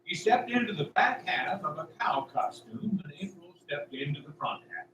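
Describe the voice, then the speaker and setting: High, bright, animated male storyteller. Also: moderate pace, slight background noise. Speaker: narrator. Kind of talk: scripted storytelling narration for audio drama. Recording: roomy, distant sound.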